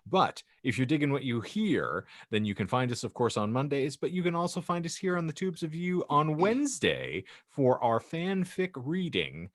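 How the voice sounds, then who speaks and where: A man's voice: on the high side, sing-song, normal speed, hardly any pauses, no echo, studio quality. A man hosting a casual comedy podcast with mock-grandiose, wordy phrasing. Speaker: podcast host.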